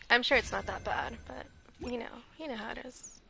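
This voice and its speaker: A woman with a soft, quiet voice, chatting casually while she plays a game. She is a little sleepy, holds her volume back, and laughs lightly and often. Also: on the high side, moderate pace, creaky, slight background noise. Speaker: streamer. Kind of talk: casual gameplay commentary. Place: home room, shared house.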